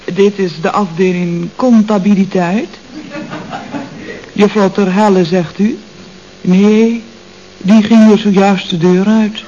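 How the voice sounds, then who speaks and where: An actress playing a chatty, coy office receptionist in a radio comedy, with mannered politeness and a Netherlands Dutch accent. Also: female voice, mid-range voice, fairly even intonation, slow, long pauses, mellow, lightly acted. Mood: affected, polite. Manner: mannered, over-polite telephone patter. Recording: dull old radio recording, faint noise.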